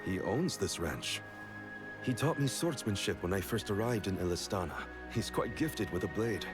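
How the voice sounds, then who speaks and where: An actor playing a vigilant, reserved swordsman in a fantasy video game, speaking in a measured, formal, serious way. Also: lowish voice, lively intonation, natural voice. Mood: calm, reflective. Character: composed, vigilant male swordsman, earnest and reserved. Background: game music.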